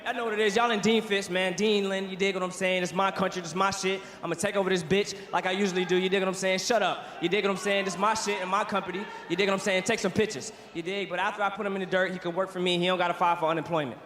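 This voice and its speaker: Brash fast-talking fighter. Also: male voice, sits high, fairly even intonation, fairly bright tone. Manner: brash street-slang trash talk. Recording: echoey hall.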